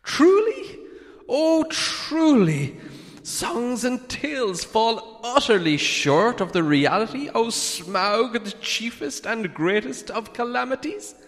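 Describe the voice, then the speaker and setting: A man voicing a small, nervous hobbit burglar in a storybook, thin-voiced, stammering a little and speaking in theatrical flattery and riddles. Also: very high-pitched, slow pace, big-room sound, pitched above the performer's own voice, full character voice. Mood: fawning flattery. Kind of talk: dramatic storybook character reading.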